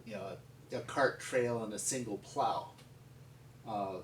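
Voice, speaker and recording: An American man telling stories in an unscripted, conversational way, with a rambling, halting delivery. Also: lowish voice. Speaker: paleontologist and museum founder telling stories. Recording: echoey, distant mic with background noise.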